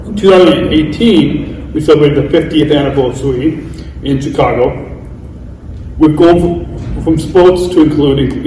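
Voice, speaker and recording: A man's voice: a little high, warm tone, a little hiss or hum. A man in his thirties on a panel, reading prepared remarks steadily and stumbling now and then on a word. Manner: reads steadily, trips on some words. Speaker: panelist, athlete speaker. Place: large event hall. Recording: distant mic in a roomy hall.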